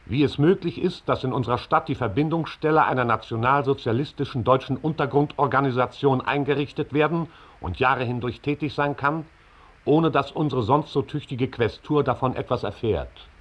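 A man's voice: mid-range voice, normal speed, close to an everyday voice. An actor playing a man formally reading out a newspaper editorial in a declamatory style for a radio drama. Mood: sternly formal. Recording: dull band-limited old radio sound.

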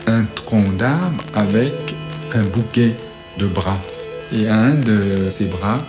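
Male storyteller, low register, reflective and fragmentary delivery, music underneath. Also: slow pace. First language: French. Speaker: composer telling his story. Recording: music playing behind the voice.

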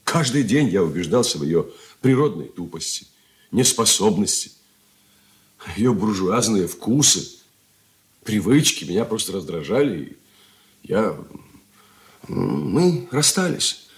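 An anxious, evasive suitor in a Soviet radio drama. His fairly low voice moves animatedly up and down, with an earnest, halting, theatrical delivery. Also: big pitch swings. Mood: earnest confession. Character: nervous, evasive suitor confessing to his fiancée, then pleading.

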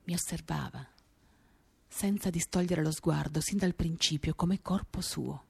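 A woman performing an expressive, theatrical first-person literary reading for radio, moving between animated and hushed delivery. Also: sits low, measured pace, vocal fry, slightly rough. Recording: acoustic guitar accompaniment. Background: guitar music.

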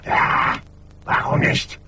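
An actor in a radio play voicing a proud, irritable man turning into a beast, hoarse and rattling, with haughty, drawn-out delivery. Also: bright, forceful tone, a little hiss or hum. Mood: hoarse, touchy. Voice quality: hoarse, breathy, rattling rasp. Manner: haughty, defensive, drawn-out snapping. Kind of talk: 1980s German radio play acting.

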